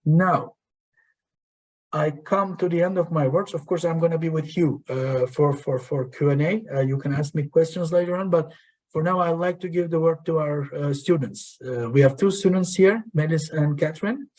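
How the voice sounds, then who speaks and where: Guy giving a halting talk on a video call, lots of uhs, kinda high for a man. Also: a little mellow. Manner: hesitant, many fillers. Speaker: programme director. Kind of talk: presentation to prospective students.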